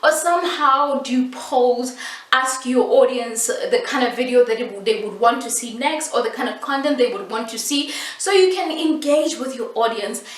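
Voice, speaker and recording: Echoey, high-voiced South African woman vlogger. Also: moderate pace. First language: Setswana. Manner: animated, emphatic repetition, playful asides with laughs. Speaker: YouTube host giving tips. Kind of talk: casual explanatory vlog. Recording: echoey room, distant mic.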